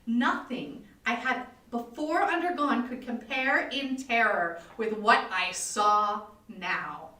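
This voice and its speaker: A woman giving a theatrical, deliberate and suspenseful dramatic reading of a gothic horror story. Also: fairly high pitch, pushed and bright. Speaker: reader of horror stories. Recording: roomy echo, distant mic, live online stream.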